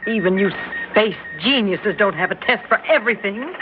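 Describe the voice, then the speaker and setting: An actress playing a worried, insistent astronaut's wife in an old radio drama, pleading and urgent. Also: female voice, medium pitch, normal speed, natural voice. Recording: dull old radio recording with hiss.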